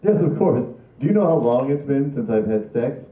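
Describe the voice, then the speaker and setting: A man's voice: medium pitch, expressive, moderate pace, slight background noise, natural voice. A man reading the part of a randy, shameless older father at an amateur table read. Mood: gleeful. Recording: tinny echoey distant camera audio.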